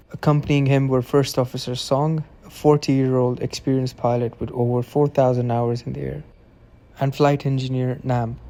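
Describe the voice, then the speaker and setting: A man's voice: medium pitch, dark tone. A man reading scripted documentary narration as the narrator.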